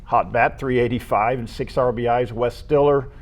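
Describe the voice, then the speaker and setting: An American man co-hosting a local high school sports show, casually running through names and statistics. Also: steady tone, moderate pace.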